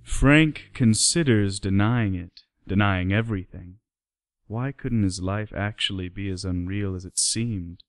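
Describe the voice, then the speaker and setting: A man's voice: lowish voice, unhurried, no room sound, clean studio sound, faint music behind. A man narrating a radio play in scripted storytelling style.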